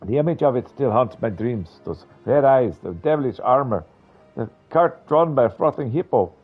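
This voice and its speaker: A florid, dramatic male adventurer, acted out by a man for a game, with a low and raspy voice. He speaks in formal, storybook phrases with a fearful streak. The sound is narrow and dull, with music behind. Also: animated, normal speed. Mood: haunted, dramatic. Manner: grandiloquent, dramatic.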